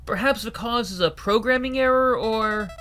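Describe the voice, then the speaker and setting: A man voicing a frightened, tearful young woman crew member who stammers and speaks haltingly. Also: sits high, bright, forceful tone, pitched above the performer's own voice. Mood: hesitant.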